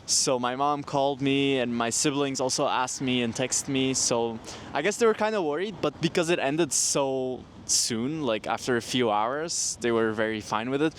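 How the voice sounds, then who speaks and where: A man's voice: medium pitch, moderate pace. A twenty-year-old man from Portugal, answering casually in an outdoor street interview. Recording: outdoor street with faint traffic noise.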